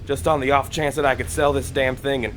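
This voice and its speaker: A man playing a gruff, suspicious working man with a folksy, blunt way of talking in an audio drama. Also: mid-range voice, level tone, brisk, slight background noise, lightly acted. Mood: bitter.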